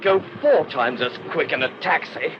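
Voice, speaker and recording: An impatient, practical inspector in a radio drama. He speaks high, with a lot of pitch movement. The recording is muffled and noisy. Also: animated, moderate pace.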